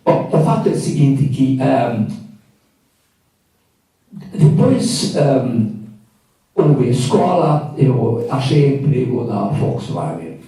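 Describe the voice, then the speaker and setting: Older guy w telling his story, dark mellow voice, far off in a big echoey room. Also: mid-range voice, big pitch swings. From Hungary. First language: Hungarian. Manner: reflective, halting with fillers. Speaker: guest speaker. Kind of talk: personal storytelling talk. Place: event hall. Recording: echoey room from a distant mic.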